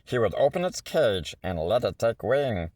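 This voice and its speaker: A man's voice: on the low side, very animated, normal speed, a little mellow, no room sound. A man reading a rhyming children's picture book aloud in a theatrical, rhythmic way, stretching words for effect. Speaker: storybook reader.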